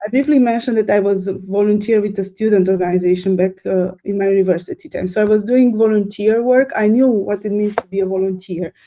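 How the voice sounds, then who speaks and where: A woman's voice: mid-range voice, steady tone, mellow. A woman in her thirties with a Romanian accent, a guest telling stories eagerly in a casual interview. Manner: fast, eager storytelling with fillers.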